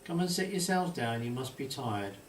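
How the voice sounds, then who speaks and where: A man's voice: mid-range voice, expressive, moderate pace, natural voice. Man reading as old fisherman grandpa, fond, homely, roomy sound, bit distant. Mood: homely, caring. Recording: distant mic, roomy echo, faint hiss.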